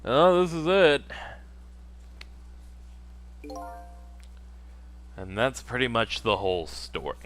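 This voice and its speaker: A man's voice: mid-range voice, sing-song, normal speed, fairly bright tone. A man voicing a bitter, resigned ghost schemer in a dramatic read-aloud of game dialogue over music. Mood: grim. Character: bitter, resigned male ghost schemer confessing his plot.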